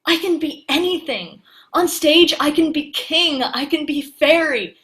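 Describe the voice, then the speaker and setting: A woman's voice: high voice, unhurried, fairly bright tone. An actress playing a passionate, guarded young woman who secretly lives disguised as a male actor, in a theatrical audio play monologue. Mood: elated.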